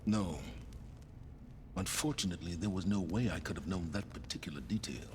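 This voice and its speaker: An actor playing a cold, calculating schemer in a video game, speaking in measured, formal, condescending phrases. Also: male voice, low voice, darkish tone, close to an everyday voice. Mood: cold, matter-of-fact. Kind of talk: video game dialogue acting. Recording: music behind the voice.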